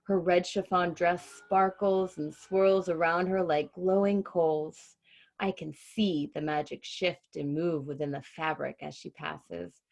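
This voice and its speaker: A woman's voice: on the low side, fairly even intonation, slow pace, vocal fry, darkish tone. A woman voicing a wonderstruck young girl who helps sew circus costumes, in an earnest storybook delivery. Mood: awestruck.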